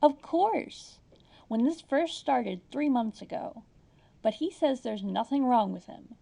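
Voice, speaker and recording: A woman's voice: on the high side, animated, slow pace, creaky, mellow, full character voice. A woman playing an anxious, long-suffering housewife who speaks in a fretful, meek way in a comedy audio drama. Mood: worried.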